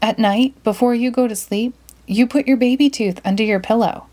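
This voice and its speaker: A woman's voice: a little high, normal speed, warm tone, lightly acted. A woman voicing a knowing young fox who explains things to a friend in a storybook. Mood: knowing, explaining.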